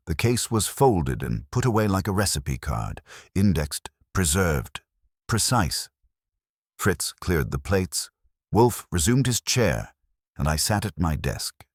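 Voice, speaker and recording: Male narrator for detective fiction: very low voice, lively intonation, measured and wry, dry close sound. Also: no echo. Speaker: audiobook narrator. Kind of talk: first-person detective story narration.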